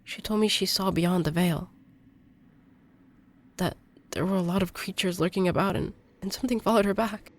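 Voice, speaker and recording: An actress playing an earnest young female exorcist in an audio drama, halting and emotional. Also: mid-range voice, fairly even intonation, natural voice. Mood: somber recollection. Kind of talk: intimate audio drama acting.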